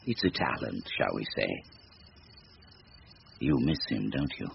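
An actor playing a sinister supernatural bargainer in a radio drama, gravelly, with a deliberate, formal, taunting delivery. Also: male voice, rumbling low, big pitch swings, heavily acted. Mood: sly, taunting. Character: sinister supernatural stranger, silky, devilish bargainer, bored and mocking. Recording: noisy, muffled radio drama recording.

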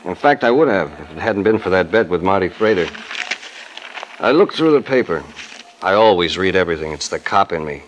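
An actor playing a terse, world-weary police detective in a 1940s radio crime drama, with clipped, understated delivery. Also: male voice, low voice, expressive, a little fast, slight background noise, poor audio. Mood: reflective, subdued.

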